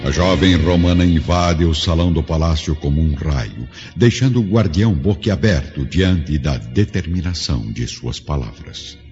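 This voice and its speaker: A man narrates a radio drama in a scripted, dramatic style. Music plays clearly behind him. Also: a bass voice, moderate pace, hardly any pauses. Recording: dull lo-fi audio with music behind.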